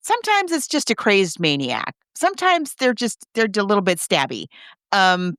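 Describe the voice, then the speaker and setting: Animated woman podcast host, dry close sound. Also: medium pitch, no echo. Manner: animated, halting, rephrases as she goes. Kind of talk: casual film and book discussion.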